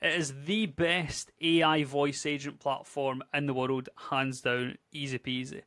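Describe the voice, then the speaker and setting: A man in his thirties with a Scottish accent, chatting casually and upbeat through a screen-share software tutorial. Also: medium pitch, level tone, measured pace, no echo. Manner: chatty, upbeat, conversational with filler words. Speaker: tech YouTuber.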